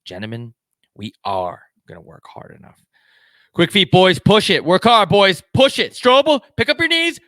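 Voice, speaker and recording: Man performing as hockey coach, film drama style, forceful bright voice, commanding, motivational, close dry sound. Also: high-pitched, big pitch swings, moderate pace, no room sound. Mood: barking, urgent. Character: gruff, demanding male hockey coach driving his players. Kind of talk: one-man film reenactment.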